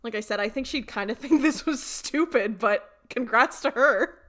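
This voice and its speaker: A woman hosting a casual group podcast, chatty and playful and quick to laugh. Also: on the high side, fairly bright tone. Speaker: podcast host.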